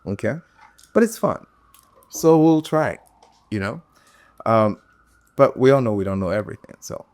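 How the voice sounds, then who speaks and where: A man's voice: fairly low pitch, animated, normal speed, darkish tone, no room sound. A man hosting an interview, asking quiz questions in an easygoing way with lots of fillers. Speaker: podcast host.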